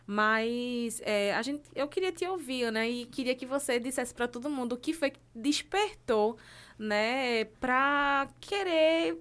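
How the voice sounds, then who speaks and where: A woman co-hosts a relaxed live podcast with a fairly high voice. She tells stories with lively energy and laughs easily between lines. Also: slow pace, clear, resonant tone. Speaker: co-host, insurance colleague. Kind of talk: casual live podcast chat.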